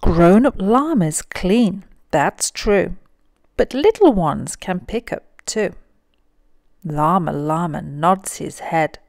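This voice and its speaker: A woman reads a picture book aloud for young children. Her delivery is extremely unhurried and deliberate, with lively, wide-ranging intonation and dramatic emphasis. The sound is dry and close. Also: on the low side, vocal fry, no echo.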